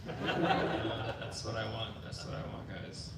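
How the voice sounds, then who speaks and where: A man's voice: lowish voice, expressive, normal speed. A young man from Sudan, doing a loose, chatty stand-up set full of crowd work. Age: young adult. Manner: loose, chatty, heavy on "you know" and "guys". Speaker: stand-up comedian. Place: indoor open mic venue. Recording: roomy venue, distant mic, background noise.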